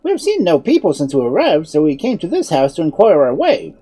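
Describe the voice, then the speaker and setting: A narrator acts out a courteous male wizard from a children's tale. He sounds husky and careful, with lively rises and falls as he explains himself. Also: medium pitch, brisk, pitched above the performer's own voice, full character voice. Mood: polite. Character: puzzled, polite, cautious male wizard in a fairy tale.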